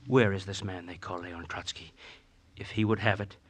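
An actor playing a fervent, embittered devotee of a lost cause, intense and formal in a declamatory 1950s radio style. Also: male voice, low-pitched, fairly even intonation, moderate pace, fairly bright tone, husky. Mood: fervent, bitter. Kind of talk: 1950s radio drama acting. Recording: old radio recording with music.

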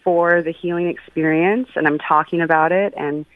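A middle-aged woman speaking reflectively and personally, haltingly searching for her words over a call. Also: deep voice, fairly even intonation. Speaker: guest giving feedback on an astrology reading. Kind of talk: personal, reflective casual talk. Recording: phone-like call with some echo.

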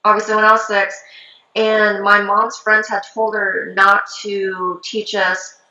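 Bright-voiced woman, native Korean speaker. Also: medium pitch, unhurried. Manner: relaxed, many fillers like um and like. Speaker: traditional shaman. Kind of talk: casual interview chat. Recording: roomy, slightly dull sound.

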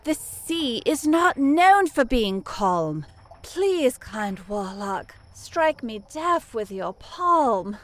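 A woman's voice: a little high, very animated, slight background noise, heavily acted. A woman playing a long-suffering fortune teller who speaks formally in rhyme, melodramatically, in a comic fantasy audio drama. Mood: pleading, anguished. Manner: melodramatic, formal, slow pleading.

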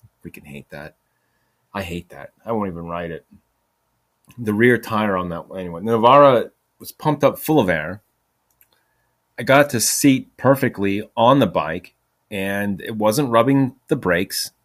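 A man's voice: lowish voice, expressive, a little slow. A man in his forties telling a rambling, casual story, chatty and self-mocking, with some swearing. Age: 40s. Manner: chatty, profane, self-mocking, drifts off topic. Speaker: podcast host.

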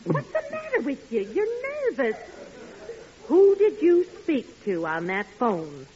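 An actress playing an affectionate but nagging, exasperated wife in an expressive style of vintage radio comedy. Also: female voice, high-pitched, takes it slowly, full character voice. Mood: scolding. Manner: scolding, expressive. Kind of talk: 1940s radio comedy acting. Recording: dull old radio recording.

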